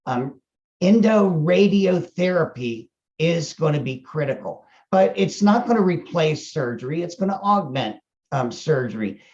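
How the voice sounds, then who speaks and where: A man's voice: fairly high pitch, animated, a little slow, a little mellow, dull audio. An older American man giving conversational interview answers in a folksy, wry, colloquial way. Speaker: chief medical officer interviewed about his company.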